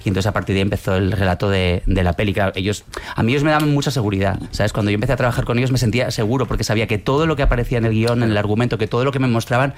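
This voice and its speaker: A man's voice: lowish voice, steady tone, very fast, slight background noise. A film director from Navarre, fluently explaining his work in a radio interview. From Navarre, Spain. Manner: fluent, explanatory, many fillers.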